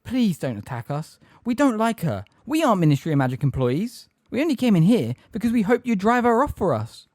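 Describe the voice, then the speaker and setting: A man's voice: sits high, big pitch swings, fairly quick, hardly any pauses, darkish tone, no room sound, clean studio sound, voice pushed up. A man voicing an earnest, anxious teenage girl in a storybook, breathless and urgent. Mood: pleading. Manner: urgent, pleading. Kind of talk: audiobook character acting.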